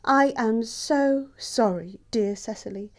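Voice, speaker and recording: A woman's voice: fairly high pitch, takes it slowly. A woman acting a snobbish young English society lady in an audio play comedy, with pompous, precise, disdainful delivery. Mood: haughty, cutting. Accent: English upper-class.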